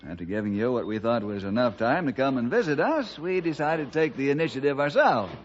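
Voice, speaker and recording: An actor playing a friendly, steady forest ranger hero with an American accent and a measured, courteous manner in a 1960s radio drama. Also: male voice, mid-range voice, close to an everyday voice. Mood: calm, friendly. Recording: dull, noisy old radio recording.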